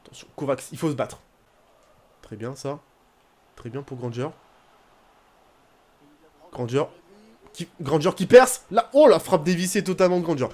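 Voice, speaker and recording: Young man, game commentary, rambling, excitable, slightly high voice. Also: moderate pace, pauses often. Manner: chatty, rambling, bursts into excited shouting at goals. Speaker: gaming YouTuber. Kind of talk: casual gameplay commentary.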